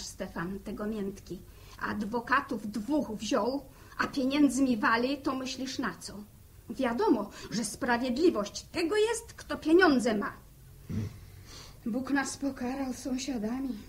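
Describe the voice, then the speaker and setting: A woman's voice: on the high side, slow. An actress playing a worried, bitter village mother in an old radio drama, lamenting in rustic phrasing. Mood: bitter, indignant. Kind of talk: 1960s radio drama acting.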